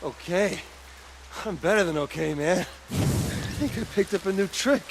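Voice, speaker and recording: A man's voice: high-pitched, a little slow, slight background noise. A man playing a cocky, mischievous young American rebel with superpowers in a video game, flippant and given to muttering to himself. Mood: exhilarated, cocky. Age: young adult. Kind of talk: naturalistic video game acting.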